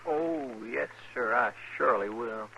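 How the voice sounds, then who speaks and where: An actor playing an eager, obliging sidekick to a frontier marshal in a 1950s radio western. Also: male voice, mid-range voice, measured pace, vocal fry, pushed and bright. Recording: very noisy, dull vintage radio broadcast.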